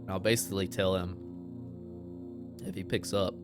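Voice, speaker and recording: A man's voice: deep voice, flat delivery, normal speed. A man talking casually as a player in a tabletop roleplaying game. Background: background music.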